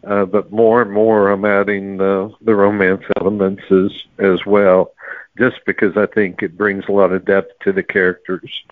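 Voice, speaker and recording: A man's voice: fairly low pitch, flat delivery, normal speed, no room sound. A man answering interview questions casually as a novelist, heard over a tinny, narrow line.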